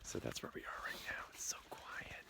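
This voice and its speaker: A man with a cold talking casually to the camera, hoarse and half-whispering at times to spare his voice. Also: moderate pace. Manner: chatty, confiding, partly whispered to save the voice. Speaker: vlogger. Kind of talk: casual vlog talk. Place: outdoors in the woods.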